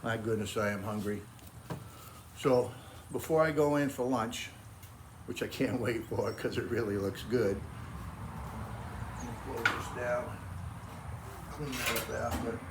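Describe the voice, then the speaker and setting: A man's voice: medium pitch, fairly even intonation, measured pace, long pauses, background noise. A man in his sixties with a Boston accent, chatting casually to camera about grilling in his backyard, in a folksy, friendly way. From Cape Cod, Massachusetts. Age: 60s. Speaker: grilling vlogger.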